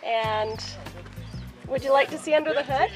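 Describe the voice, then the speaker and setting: A woman's voice: high-pitched, normal speed, over music. A woman chatting casually and in a friendly way as an exhibitor showing something off. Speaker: vehicle exhibitor. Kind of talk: casual on-location chat.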